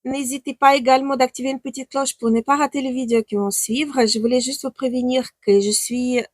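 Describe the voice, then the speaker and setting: A young woman with a Ukrainian accent, chatting casually about eyeshadow palettes on her beauty channel, pausing often with "euh" and restarting her sentences. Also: high voice, fairly even intonation, no room sound, studio quality. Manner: chatty, with frequent hesitations and restarts. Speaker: beauty vlogger. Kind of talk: casual makeup review.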